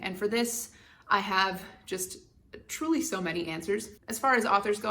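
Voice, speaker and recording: Woman, young adult, book vlog host, candid, wry, opinionated. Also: mid-range voice. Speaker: BookTube channel host. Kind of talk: casual book tag vlog.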